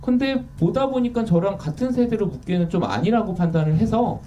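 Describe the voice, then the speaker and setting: A man in his thirties talks candidly as an interview guest, his warm, mellow voice rising and falling with lively swings of pitch. He sits some way from the microphone, and a faint hiss hangs under the sound. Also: brisk. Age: 30s. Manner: candid, self-deprecating, lively. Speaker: author guest. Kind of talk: casual interview talk. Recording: distant mic with faint noise.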